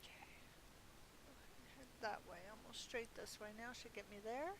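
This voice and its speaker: A woman with a slightly high voice chats casually as she plays a game for viewers. She thinks aloud as she goes, in an unscripted streamer's way. A faint hiss sits on her budget microphone. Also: brisk. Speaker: streamer, gameplay host. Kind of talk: casual live gameplay commentary. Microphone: budget gaming mic.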